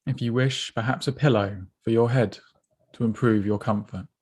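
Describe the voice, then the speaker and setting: A man with a mid-pitched voice guides a body scan meditation. He speaks gently and without hurry, giving soft instructions and leaving space between his phrases. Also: fairly even intonation, breathy edge. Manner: gentle, unhurried, instructive, with pauses.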